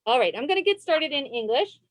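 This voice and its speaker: A woman librarian reading a children's picture book aloud in an expressive, encouraging way. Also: high-pitched, brisk, no room sound. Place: indoors on a video call.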